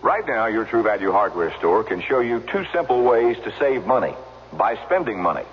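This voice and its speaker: A man's voice: on the low side, animated, normal speed. A man reading a scripted radio commercial as an announcer. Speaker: advertising announcer. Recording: dull, noisy vintage radio recording.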